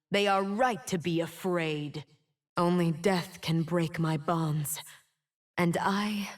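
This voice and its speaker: An actress voicing a proud, wounded, immortal winged woman in a video game, speaking with solemn, dramatic defiance. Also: lowish voice. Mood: brooding, solemn. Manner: slow, solemn, dramatic delivery. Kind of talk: scripted game character voice lines.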